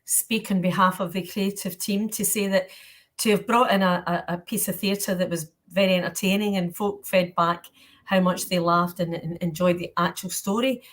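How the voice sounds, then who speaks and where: A woman's voice: lowish voice, fairly even intonation, normal speed. A woman hosting an informal panel discussion, talking in a chatty, rambling way. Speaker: panel host.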